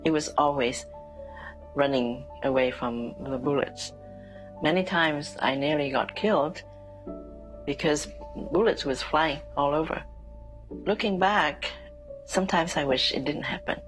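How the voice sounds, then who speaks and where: A woman from Vietnam speaking in an interview, slow and halting, with repeated words. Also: low voice, rough recording. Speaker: painter. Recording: soft music underneath.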